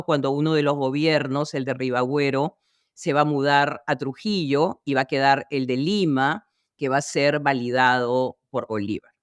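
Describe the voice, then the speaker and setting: A woman's voice: deep voice, no echo, clean studio sound. A woman introducing a history podcast, explaining things in a somewhat hesitant way.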